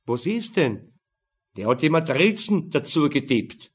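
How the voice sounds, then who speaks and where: A man voicing a gruff, irascible Austrian police major and father, loud, sneering and interrogating. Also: on the high side, big pitch swings, measured pace, pitched above the performer's own voice, heavily acted.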